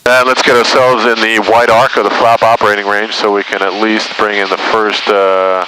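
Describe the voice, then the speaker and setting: A man's voice: on the low side, flat delivery, moderate pace, bright, forceful tone. A man working as a flight instructor, explaining procedures step by step in an instructive way inside a small airplane. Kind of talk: calm step-by-step cockpit instruction. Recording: very noisy light-aircraft cockpit, distant at times.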